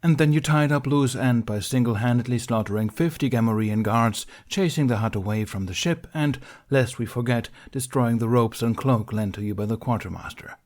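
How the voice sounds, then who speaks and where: A man's voice: medium pitch, moderate pace, hardly any pauses, darkish tone, close to an everyday voice. A man voicing a stern, exasperated council master in an audiobook, formally interrogating in a businesslike, accusing way. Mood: frustrated. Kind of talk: audiobook character dialogue.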